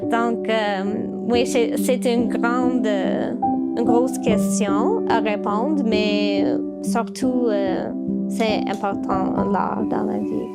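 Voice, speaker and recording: Slow, hesitant woman speaking French. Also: fairly high pitch, steady tone, over loud music. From United States (Baltimore, Maryland). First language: English. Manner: hesitant, many filler sounds and restarts. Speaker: puppeteer. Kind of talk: interview answers. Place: her puppet workshop.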